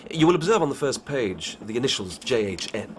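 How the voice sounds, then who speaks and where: A man's voice: medium pitch, very animated, brisk, slight background noise, close to an everyday voice. An actor playing an earnest, eager male police inspector reporting his findings in a radio drama.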